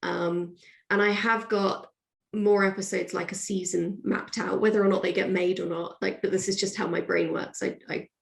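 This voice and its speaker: A woman's voice: medium pitch, little pitch movement, normal speed, creaky. A woman author being interviewed, chatting casually and thinking aloud in a discursive way. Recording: roomy, echoey sound.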